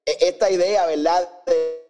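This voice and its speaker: Male co-host, fairly high voice, Puerto Rican accent, informal bilingual chatter. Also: steady tone, measured pace, music in the background. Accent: Puerto Rican Spanish. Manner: code-switches between Spanish and English. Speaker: podcast co-host. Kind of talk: casual chat.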